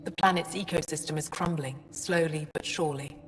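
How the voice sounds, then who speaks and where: An actress playing a guarded, no-nonsense female alien scientist in a video game, speaking in a measured, frank way. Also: low-pitched, fairly even intonation, a little hiss or hum, natural voice. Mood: grave. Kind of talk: video game dialogue acting. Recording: long reverb, music underneath.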